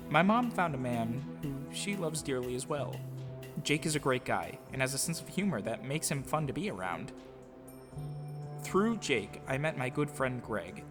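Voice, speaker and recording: A man giving an earnest, sincere scripted narration of his own life story in audio drama form. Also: mid-range voice. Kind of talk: scripted autobiographical narration with acted dialogue. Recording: music behind the voice. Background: music.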